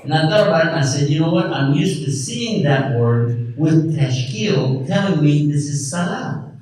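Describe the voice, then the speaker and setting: A man giving an animated religious talk to a live audience, often asking the crowd questions. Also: on the high side, normal speed. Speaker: speaker at an Islamic event. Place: large lecture hall with audience. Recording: echoey hall from far off, faint noise.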